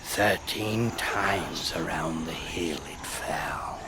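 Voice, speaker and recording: Creepy demon huntsman, gothic audio drama, super low whispery voice, cold n formal, really slow. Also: heavy background noise, exaggerated voice. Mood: cold menace.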